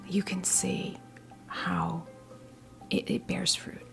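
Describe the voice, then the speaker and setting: An American woman in her fifties giving a tarot reading as ASMR role play, whispering softly in a halting, gently reassuring way. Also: lowish voice, flat delivery, over music. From New Jersey, US. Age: 50s. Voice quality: whispered, breathy soft voice. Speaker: ASMR performer playing a tarot reader.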